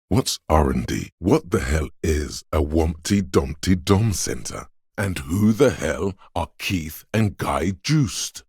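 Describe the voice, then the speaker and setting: Extremely deep inner voice of knowledge, heavily husky, erudite, dryly pedantic, deliberate game narration. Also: male voice, no room sound, studio quality, exaggerated voice. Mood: dryly incredulous. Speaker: video game voice actor. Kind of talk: measured game narration addressing the listener.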